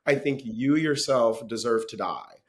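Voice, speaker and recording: A young man from Florida, a podcast guest, talking in a dry, deadpan way with lots of ums and likes. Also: medium pitch, a little slow. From Florida, USA. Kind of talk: casual comedic chat.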